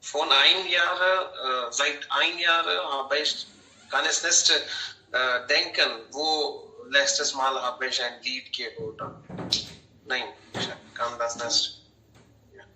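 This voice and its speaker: A man in a group conversation over a video call, talking haltingly with many pauses. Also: medium pitch, fairly even intonation, sharp tone. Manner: hesitant, halting. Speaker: German learner. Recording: roomy sound, distant mic.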